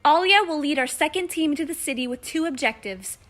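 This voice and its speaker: A woman voicing a take-charge teenage superhero girl in an audio drama, commanding and energetic. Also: high-pitched, brisk, soft background music. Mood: urgent, commanding. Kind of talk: fan audio drama acting.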